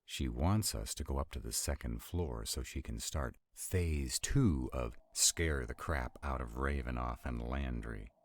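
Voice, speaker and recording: A man playing an arrogant, stubborn vampire in a roleplay audio drama, with a deep, gravelly, husky voice and a haughty, deliberate delivery. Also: dry recording. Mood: commanding, defiant. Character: arrogant, stubborn male vampire, irritated and skeptical.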